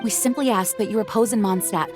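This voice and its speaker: A woman's voice: medium pitch, fast, over music, lightly acted. A woman playing a dutiful, earnest knight commander in a video game, formal and resolute.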